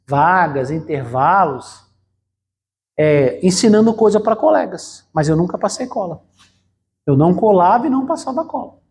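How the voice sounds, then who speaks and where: A man's voice: sits high, a little mellow. A pastor gives a sermon in a church. He speaks conversationally, leaning on fillers and rhetorical questions, and keeps turning to the congregation as brothers.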